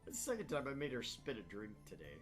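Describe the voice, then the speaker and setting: A man's voice: mid-range voice, wildly swooping pitch, fast. A man hosting a gaming channel, joking and swearing casually and reading visual novel text aloud. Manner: joking, profane. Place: room at home, not soundproofed. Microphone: shared open microphone. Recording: open-mic room noise, music behind. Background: music.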